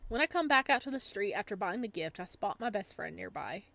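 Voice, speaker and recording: A woman playing a visual novel, reading the narration aloud and adding casual, playful, expressive commentary as she goes. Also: mid-range voice, a little fast, gravelly. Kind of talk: casual game commentary mixed with dramatic narration.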